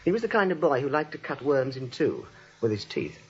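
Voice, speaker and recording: A man's voice: medium pitch, sing-song, brisk, muffled recording. An actor playing a glib, sardonic young man in a 1940s radio comedy, teasing and breezy, on a vintage recording. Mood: wry, confiding. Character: wry, breezy young man, a cynical drama critic.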